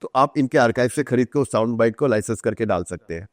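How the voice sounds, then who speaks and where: Male podcast guest, Indian accent, mid-pitched, articulate explainer who repeats for emphasis. Dry recording. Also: lively intonation, fast, dry recording. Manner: articulate, emphatic repetition, Hindi mixed with English terms. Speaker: author guest. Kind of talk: podcast interview, explanatory talk.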